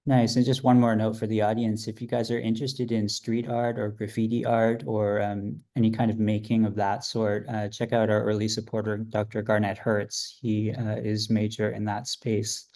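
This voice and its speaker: A man hosting a podcast, working through a numbered list of questions in a brisk, steady way. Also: on the low side. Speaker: podcast host.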